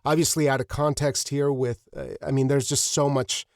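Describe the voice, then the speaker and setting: A man hosting an interview in casual, reflective talk, effusive and earnest with halting phrasing. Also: mid-range voice, little pitch movement, creaky, dry recording, studio quality. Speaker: podcast host, interviewer.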